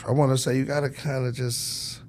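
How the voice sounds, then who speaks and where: A man's voice: medium pitch, level tone, unhurried, a little mellow, slight background noise. A man speaking as a music executive in a casual interview, in slangy talk peppered with "you know" and "man".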